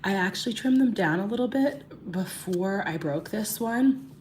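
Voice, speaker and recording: A woman in her thirties, hoarse and raspy from losing her voice, chatting casually to livestream viewers during a nail tutorial and greeting them by name. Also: mid-range voice, expressive, moderate pace, a little mellow, a little hiss or hum. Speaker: beauty livestream host.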